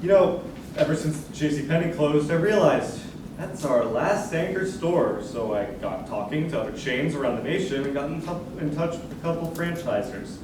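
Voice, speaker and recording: A man's voice: fairly high pitch, animated, moderate pace, noisy background, close to an everyday voice. A young man playing an earnest, anxious, nostalgic mall manager in a staged reading of a musical, heard from far off. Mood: wistful, worried. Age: young adult. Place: large echoey room, recorded from far off. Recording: distant, very echoey hall stage recording.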